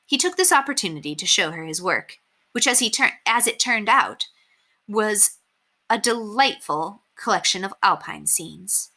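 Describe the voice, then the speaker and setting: A woman narrating a children's book aloud as an expressive storyteller. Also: medium pitch, moderate pace.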